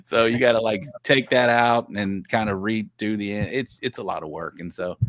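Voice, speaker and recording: A low-pitched man from Texas talks loosely over a video call, circling back with restarts and quick laughs. His voice sits fairly deep, and the sound is dry and close, with no room around it. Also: a bit bright, no echo. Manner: rambling with many restarts, laughs easily. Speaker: guest podcast host. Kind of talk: casual livestream chat. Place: home office.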